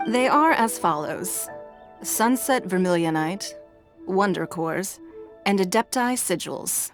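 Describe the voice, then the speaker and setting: A woman's voice: mid-range voice, lively intonation, takes it slowly, over music. An actress playing a poised, authoritative noblewoman tycoon in a video game, speaking formally and with composure. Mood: composed.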